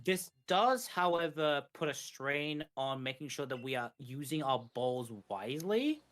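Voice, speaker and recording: A man's voice: fairly high pitch, lively intonation, unhurried, a bit bright, faint music behind. Wry man co-commentating a speedrun. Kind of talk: casual live game commentary.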